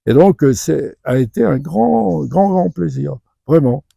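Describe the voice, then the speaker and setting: A man's voice: fairly high pitch, expressive, unhurried, gravelly, mellow. An older man from western France telling anecdotes in a spontaneous interview, chatty, digressive and good-humoured. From Vendée region, western France. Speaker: interviewee, retired dental professor.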